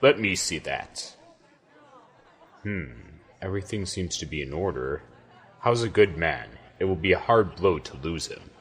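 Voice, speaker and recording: Guy doing a gruff commanding general for an audio drama, low raspy voice, measured and weary. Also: lively intonation, fairly bright tone, full character voice. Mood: weary, skeptical. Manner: measured, authoritative. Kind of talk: scripted audio drama acting.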